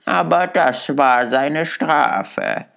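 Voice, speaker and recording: A man's voice: a little high, expressive, drawn-out words, creaky, a bit bright, clear, resonant tone, pitched above the performer's own voice, full character voice. A man voicing a gossipy old laundress in an audiobook, eagerly agreeing in a fawning way.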